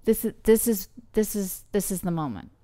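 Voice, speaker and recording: A woman's voice: medium pitch, expressive, normal speed, warm tone. A woman from British Columbia, speaking as a podcast guest in an earnest, thinking-aloud way with frequent restarts. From British Columbia, Canada. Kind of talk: conversational interview.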